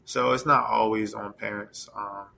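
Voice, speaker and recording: A man's voice: on the low side, steady tone, moderate pace, creaky, sharp tone. A man speaking as a podcast guest, thoughtful and hedging in casual conversation.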